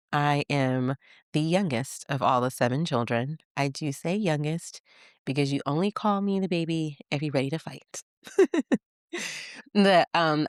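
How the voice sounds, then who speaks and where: A woman from Michigan hosting a podcast, telling childhood stories in a chatty, animated way and laughing as she goes. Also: a bass voice, no room sound, clean studio sound. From Michigan, USA. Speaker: podcast host. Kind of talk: casual personal storytelling.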